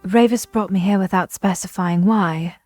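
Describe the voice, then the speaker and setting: A woman playing a grave, earnest young noblewoman oracle with a formal, measured manner in an audio drama. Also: medium pitch, a little mellow, faint music behind, natural voice. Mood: gentle.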